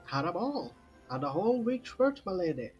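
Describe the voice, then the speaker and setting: An actor playing a gallant, boastful old-fashioned knight in an audio drama, with a husky voice. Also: medium pitch, big pitch swings, moderate pace, dull audio, faint music behind, close to an everyday voice. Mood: proud, cheerful.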